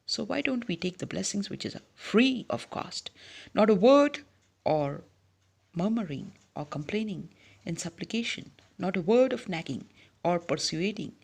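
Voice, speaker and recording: Gravelly, husky preacher giving a devotional sermon. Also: medium pitch. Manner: emphatic, repetitive "yes" between phrases.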